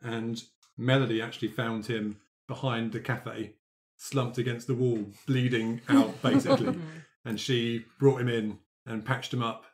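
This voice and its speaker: A man chatting informally and a little haltingly as a tabletop roleplayer describing his character's backstory. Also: mid-range voice, little pitch movement. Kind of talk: casual chat about his character's backstory.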